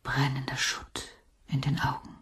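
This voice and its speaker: A fragile female patient in a radio drama. She whispers in a low, breathy voice, very slowly, as if reciting dreamy verse. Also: monotone, a bit bright, roomy sound, far from the mic. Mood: trance-like, fragile. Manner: slow, poetic recitation.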